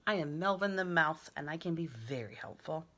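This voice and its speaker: A woman's voice: sits low, animated, moderate pace, sharp tone, heavily acted. A woman voicing an exuberant, imaginative little boy who boasts his way through a children's picture book. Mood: boastful. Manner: exuberant, boastful, sound-effect loving. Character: boisterous imaginative little boy playing vehicles and animals.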